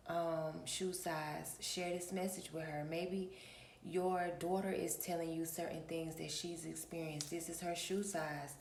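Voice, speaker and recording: A woman hosting her own channel, talking in a relaxed early-morning vlog with a raspy voice and a preachy manner, often clearing her throat. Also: on the low side, roomy sound, slight background noise. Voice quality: gravelly. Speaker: spiritual reader and channel host.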